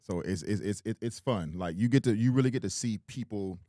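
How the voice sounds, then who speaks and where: A man with a very deep voice co-hosting a podcast, laid-back and teasing in casual chat. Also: brisk, warm tone, dry recording. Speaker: podcast co-host.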